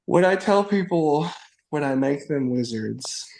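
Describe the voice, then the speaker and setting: Bubbly, gravelly-voiced playful man. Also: a little high, lively intonation, unhurried, darkish tone, poor audio. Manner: upbeat and playful, many "like" fillers, laughs often; theatrical wizard persona, grand mock-formal phrasing. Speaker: podcast guest. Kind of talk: enthusiastic casual chat.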